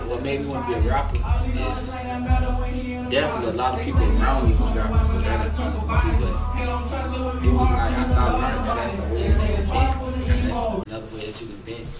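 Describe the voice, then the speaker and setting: A young man, a rapper being interviewed, chats casually in slangy talk and often repeats his phrases. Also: mid-range voice. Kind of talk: casual chat, telling stories. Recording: roomy, distant mic, loud background noise.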